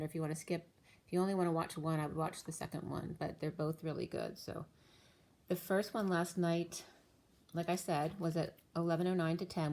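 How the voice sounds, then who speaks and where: A woman's voice: low voice, darkish tone. A woman giving a casual spiritual tarot reading, rambling through her explanations while losing her voice. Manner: rambling, stream-of-thought explanations. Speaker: tarot reader.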